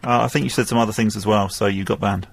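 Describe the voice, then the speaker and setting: A man's voice: fairly low pitch, steady tone, fast, vocal fry, slightly rough, dry recording. A man bantering casually as the sidekick on a radio show.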